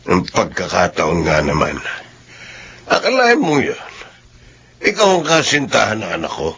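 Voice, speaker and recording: A man playing a worried, disapproving older father of a grown son in a radio drama, with a gravelly, husky voice. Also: fairly low pitch, huge pitch swings, a little slow, a bit bright, lo-fi sound, heavily acted. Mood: musing.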